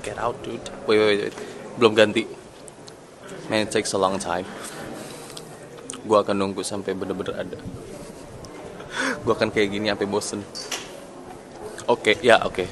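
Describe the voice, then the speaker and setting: A man's voice: lowish voice, flat delivery, normal speed, long pauses, a crowd in the background. A young man in his twenties from Indonesia, vlogging in a joking, nervous, rambling way, switching between Indonesian and English, filmed on a handheld camera at arm's length. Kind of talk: casual vlog chat mixing Indonesian and English. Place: inside a car, then a conference hall.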